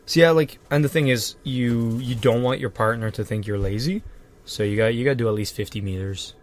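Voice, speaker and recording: A man co-hosting a podcast, trading deadpan absurd jokes in casual chat, a little congested from a cold. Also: medium pitch, brisk, a little hiss or hum. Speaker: podcast co-host.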